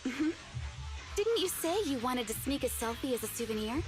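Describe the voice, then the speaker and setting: A woman's voice: high voice, big pitch swings, moderate pace, over music. A woman voicing a composed, dutiful young female squad leader in a video game, speaking in a polite, businesslike way. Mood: calm, polite.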